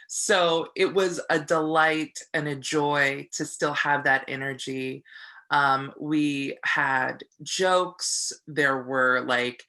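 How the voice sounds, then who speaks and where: An actor talking reflectively in an interview about their work, pausing and restarting along the way. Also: mid-range voice, bright, forceful tone. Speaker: actor in an audio play. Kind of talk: interview testimonial.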